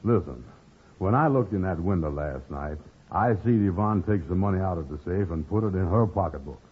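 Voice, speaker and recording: Dim lovelorn fellow, deep voice, muddled speech, old radio comedy, narrow phone-like sound. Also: male voice, lively intonation, fairly quick, breathy edge. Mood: troubled, mournful. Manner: dim, hesitant, malapropisms. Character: slow-witted, lovelorn, anxious man, comically muddled talker. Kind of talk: 1950s radio comedy acting.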